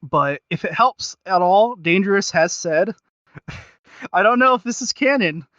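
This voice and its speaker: A man co-hosting a casual fan discussion, speaking in a halting, slangy, self-deprecating way and often repeating himself. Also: high-pitched, lively intonation, sharp tone, no room sound, muffled recording. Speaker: podcast co-host reviewing audio dramas.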